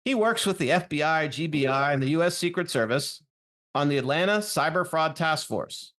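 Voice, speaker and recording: A man's voice: fairly high pitch, animated, moderate pace, fairly bright tone. A man co-hosting a podcast, reading a scripted intro and trading dry, teasing jokes with his co-host. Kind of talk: scripted intro and joking banter.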